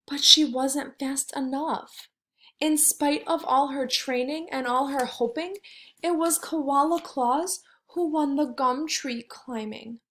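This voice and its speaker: A woman teacher doing a read-aloud for kindergarteners, wondering aloud and asking simple questions in an expressive, child-directed way. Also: high voice, takes it slowly.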